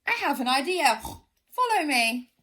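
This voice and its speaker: A woman's voice: high voice, big pitch swings, unhurried, pushed and bright, pitched above the performer's own voice, full character voice. A woman playing a vain pompous warthog. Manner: grand and self-admiring.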